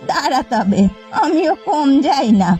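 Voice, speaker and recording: A woman's voice: sits high, very animated, a little slow, dark tone, dull audio, soft background music. A woman voicing a doting, indignant old village woman in a folk-tale cartoon, exclaiming dramatically. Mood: dismayed, vengeful.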